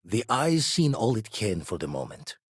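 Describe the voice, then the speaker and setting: A gruff veteran spacefarer from a video game, once a pirate. The voice is husky and on the low side, swinging expressively through measured, wry, slang-heavy lines. It sounds close and dry. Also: male voice, wildly swooping pitch, dry recording, exaggerated voice. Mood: steady, measured. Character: seasoned, gruff male space explorer, ex-pirate mentor. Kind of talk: scripted game character dialogue.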